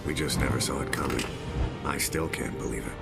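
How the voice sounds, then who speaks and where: A rock-bottom, fry-laden American voice tells of war as a hardened Marine. He is grim and weary, speaking in terse, grave sentences like a video game campaign narrator. Heavy music plays right beneath him. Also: rumbling low, fairly even intonation, fairly quick. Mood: numb, mournful. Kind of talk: scripted dramatic war narration. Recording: loud music under the voice.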